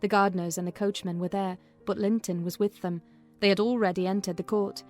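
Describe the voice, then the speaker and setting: A woman's voice: mid-range voice, steady tone, fairly quick, a little mellow, faint music behind, natural voice. A woman playing a sensible, composed household servant, narrating a classic novel as scripted storytelling.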